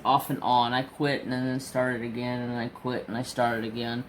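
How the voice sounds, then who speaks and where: A man's voice: mid-range voice, flat delivery, moderate pace, slight background noise, rough recording. A man in his forties chatting casually in a rambling, storytelling way while crafting. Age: 40s. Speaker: crafting vlogger.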